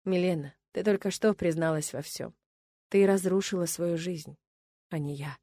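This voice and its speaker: A woman voicing a betrayed wife in an audiobook, speaking in a quiet, controlled way with wounded defiance. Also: lowish voice, normal speed, dry recording. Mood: quietly firm. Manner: quiet, controlled, breathy near-whispers. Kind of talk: dramatic audiobook character dialogue.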